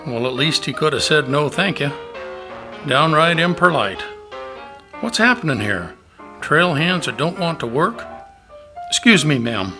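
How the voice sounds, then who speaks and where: A man's voice: fairly high pitch, animated, a little slow, long pauses, pushed and bright, soft background music, full character voice. A man playing a harried, pushy cattle-drive cowboy with folksy Old West speech in an amateur radio play. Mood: exasperated.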